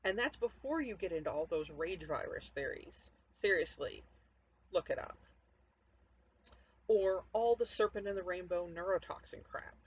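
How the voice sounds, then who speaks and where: A woman's voice: fairly low pitch, animated, moderate pace. Woman writer doing a story reading at home, informal, kinda dull sound w a bit of noise. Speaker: author reading own fiction aloud. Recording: dull lo-fi audio, noticeable background noise.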